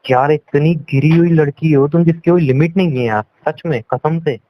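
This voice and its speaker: Dull call recording of a high-pitched, scolding young man. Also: moderate pace. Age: young adult. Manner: accusing, scolding, repetitive. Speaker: boyfriend on a phone call. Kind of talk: heated relationship argument in casual Hindi-English talk. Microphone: phone call.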